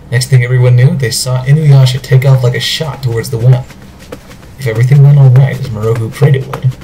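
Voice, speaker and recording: A man narrates a scripted story in a medium-pitched voice. It is an amateur recording with slight noise behind him. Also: dark tone, poor audio.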